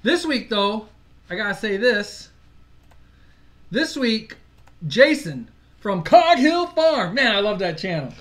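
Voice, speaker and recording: Male livestream co-host from Michigan; relaxed, talkative, quick to laugh. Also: high voice. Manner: chatty, laughs easily, loses his place. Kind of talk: casual live-stream chat.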